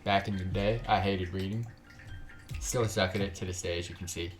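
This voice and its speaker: A man's voice: low-pitched, fairly even intonation, normal speed. Slangy male livestreamer over music. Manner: slangy, joking, profane. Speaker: live streamer. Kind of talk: casual livestream chat with stumbling read-aloud of game text.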